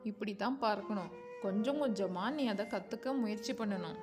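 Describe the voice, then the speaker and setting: A woman's voice: mid-range voice, moderate pace, over music, natural voice. A woman voicing a friendly, confident pigeon in a children's bedtime story, coaxing and instructive in manner.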